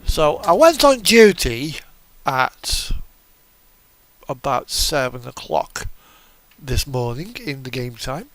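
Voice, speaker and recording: A man's voice: medium pitch, lively intonation, slow pace, fairly bright tone. A man giving casual commentary on a truck driving game, musing aloud as he thinks through each step, with engine sound behind him. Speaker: gamer narrating a truck driving simulator. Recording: clear engine and vehicle noise. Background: truck engine and reversing beeps from a game.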